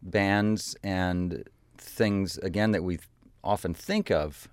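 A man's voice: low-pitched, takes it slowly, clear, resonant tone, no echo. A man hosting a radio interview, asking conversational questions with some hesitations. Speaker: radio host.

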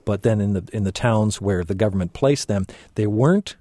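A man hosting a radio interview, asking questions in a measured, conversational way. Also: fairly low pitch, dark tone, dry recording, clean studio sound. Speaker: radio host.

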